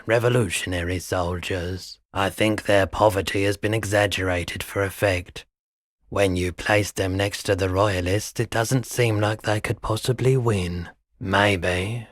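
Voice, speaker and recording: An actor playing a weary, mild-mannered pawnshop owner no longer young in a video game, speaking in an unhurried, low-key, dryly polite way. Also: male voice, fairly bright tone, dry recording, studio quality. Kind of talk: video game dialogue acting.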